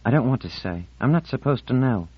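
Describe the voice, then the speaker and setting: A man's voice: medium pitch, animated, fairly quick, breathy edge, no room sound, lo-fi sound, voice pushed up. A man voicing a moody, articulate teenage girl in an audiobook, insistent and indignant in manner. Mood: sullen.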